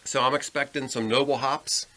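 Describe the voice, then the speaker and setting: A man's voice: medium pitch, fairly even intonation, fairly quick, bright, forceful tone. An American man co-hosting a podcast in casual conversation over a beer. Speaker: podcast co-host.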